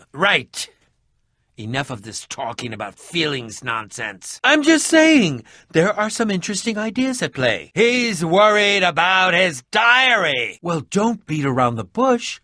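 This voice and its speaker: A man's voice: sits high, sharp tone, no echo, studio quality, full character voice. An actor playing an eccentric, prickly male adventurer in a video game, with a pompous, melodramatic and sardonic manner. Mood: awkward, sincere, then dry, uneasy. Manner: theatrical, pompous, sardonic, formal wording. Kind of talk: animated video game voice acting.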